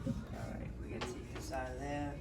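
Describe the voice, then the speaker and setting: A man's voice: medium pitch, little pitch movement, brisk, darkish tone, faint music behind. A man with a Boston accent doing a rambling, self-mocking stand-up set on a comedy club stage, with plenty of ums. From Boston, USA. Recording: roomy, mic at a distance.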